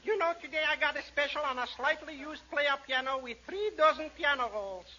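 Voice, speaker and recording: A man's voice: very high-pitched, a little fast, bright, forceful tone, heavily acted. An actor playing a boastful, eager piano salesman in a 1940s radio comedy. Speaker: comic character actor. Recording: dull 1940s radio recording.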